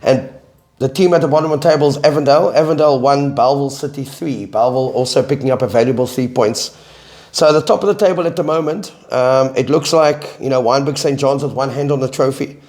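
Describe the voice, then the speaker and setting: A man with a South African accent, a football coach co-hosting a casual sports chat, his voice croaky from flu, reading results in a measured, steady way. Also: medium pitch. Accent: South African English.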